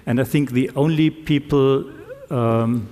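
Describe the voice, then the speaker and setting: A man who is not a native English speaker, giving a conference talk in a slow, deliberate way with self-deprecating humor. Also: medium pitch, dark tone. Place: conference hall. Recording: reverberant conference hall.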